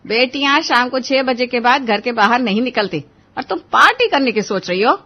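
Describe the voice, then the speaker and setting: A woman's voice: a little high, expressive, moderate pace, dull audio, pitched above the performer's own voice. A woman voicing an anxious, strict mother-in-law in a moral-story drama, with an emphatic, admonishing manner. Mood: scolding.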